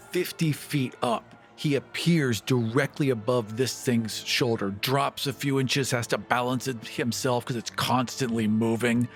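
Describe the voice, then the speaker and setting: Mid-pitched man hosting, music behind. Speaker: podcast host and player. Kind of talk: casual narration and podcast announcing.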